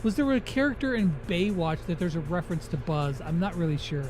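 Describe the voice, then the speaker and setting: A young man hosts a laid-out casual gaming chat. His voice sits high, and he speaks with slangy enthusiasm over background music. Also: brisk, a little mellow. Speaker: interviewer and gaming host. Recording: music playing behind the voice.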